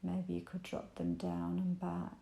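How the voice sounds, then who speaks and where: A woman leading a guided meditation in a gentle, soothing, invitational manner with unhurried pauses. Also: on the low side, monotone, gravelly, roomy sound. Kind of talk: guided body scan meditation.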